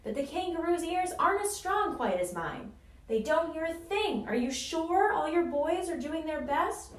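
A woman's voice: high-pitched, sing-song, measured pace, fairly bright tone, roomy sound, heavily acted. A woman voicing a big, loyal male elephant in a storybook, anxious and emphatic in an urgent, pleading way. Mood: rallying.

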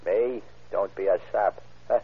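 A hard-boiled American crook in vintage radio drama style, boastful and defiant in street slang. His voice is lowish and rough, heard through a thin, tinny old broadcast recording. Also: unhurried. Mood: brash, defiant. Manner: slangy, defiant tough-guy talk. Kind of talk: old-time radio crime drama acting.

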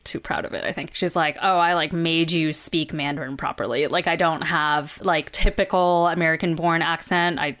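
Co-host: thirties woman from California, low voice, chatty and candid. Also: a bit bright. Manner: chatty, candid, laughs easily, quotes people in voices. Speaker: podcast co-host. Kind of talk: casual podcast chat.

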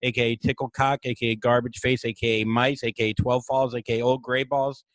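A comedian hosts a loose podcast chat in a medium-pitched male voice with a light lisp. He swears freely, mocks himself and laughs a lot. The mic is close and the sound is dry, with no room around it. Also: little pitch movement, dry recording. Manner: crude, self-mocking, quick to laugh. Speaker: podcast host.

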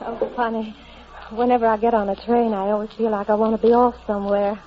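A sentimental young American wife, acted by a woman in 1940s radio style. Her voice sits a little high, tender and earnest. The recording is dull and clearly noisy. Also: little pitch movement, quick pace, natural voice. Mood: wistful. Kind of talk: 1940s radio drama acting. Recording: muffled, noisy old radio broadcast.

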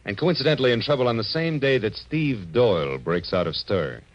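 A man's voice: medium pitch, animated, a little fast, natural voice. An American man playing a hard-boiled, sardonic private detective in a 1940s radio noir drama, with terse, wisecracking delivery. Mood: sardonic, pressing. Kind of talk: 1940s radio noir acting and first-person narration. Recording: muffled vintage radio recording with music.

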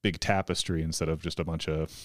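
Low-voiced guy in his 30s rambling on a podcast, dry close sound. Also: level tone, a little fast, no room sound. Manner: rambling, lots of filler words and restarts. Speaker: guest comedian. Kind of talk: casual podcast conversation.

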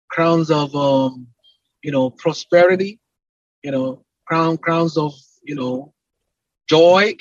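A man with a Nigerian accent talks at length in an interview, in a slightly high, husky voice. He explains things emphatically, stacking up repeated phrases in lists. The sound is dry and close, though a bit dull and lo-fi. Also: no echo. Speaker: entrepreneur and company CEO, podcast guest.

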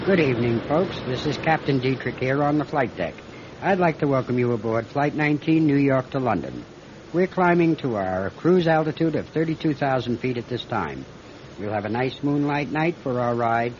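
An actor playing a commanding but rattled veteran airline captain in a classic radio drama. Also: male voice, medium pitch, lively intonation, moderate pace, lightly acted. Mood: calm, courteous. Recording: dull, noisy old radio recording.

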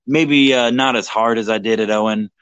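A man's voice: fairly low pitch, steady tone, normal speed, a bit bright, clear, resonant tone, no echo, muffled recording. A young man in casual livestream chat, slightly drunk, rambling, swearing and mocking himself. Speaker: livestream co-host.

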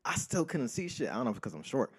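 A man co-hosting a podcast, talking in a quiet, laid-back way with a lot of fillers. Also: medium pitch, very animated, very fast, breathy edge. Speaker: podcast co-host. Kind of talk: casual chat.

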